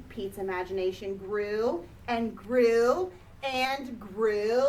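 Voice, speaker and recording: A woman reading a children's picture book aloud expressively, drawing out key words for emphasis. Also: on the high side. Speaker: storybook reader. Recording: distant mic, faint background noise.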